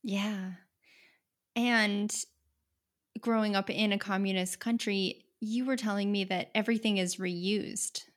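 A woman's voice: mid-range voice, fairly even intonation. Chatty woman hosting an interview. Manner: affirming, quick to laugh. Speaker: host. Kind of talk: casual interview conversation.

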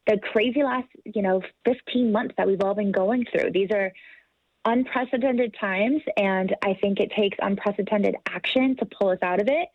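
A woman's voice: mid-range voice, dry recording, lo-fi sound. A woman, American-born, speaking as a guest in a podcast interview in an earnest, articulate and motivational way. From United States.

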